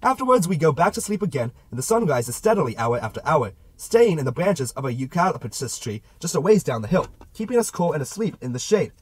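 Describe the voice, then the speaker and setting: A man's voice: a little high, very animated, a little fast, hardly any pauses, husky, no room sound, poor audio. A man narrating a literary novel as an amateur audiobook reader, with a theatrical delivery.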